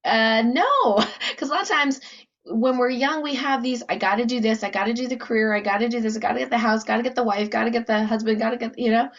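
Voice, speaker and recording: A woman's voice: on the high side, quick pace. A woman hosting a casual interview, affirming her guest with short interjections, on a dull, lo-fi recording. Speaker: interviewer, show host.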